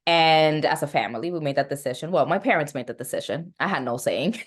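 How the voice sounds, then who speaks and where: Young woman therapist, low voice. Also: fairly quick. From United States. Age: young adult. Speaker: guest, licensed therapist. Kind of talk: casual interview conversation.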